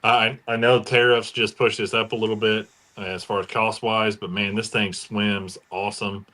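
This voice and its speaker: American male podcast panelist, lower-pitched with a bright, forward edge, informal and keen. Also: level tone, normal speed. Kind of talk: casual chat about fishing gear.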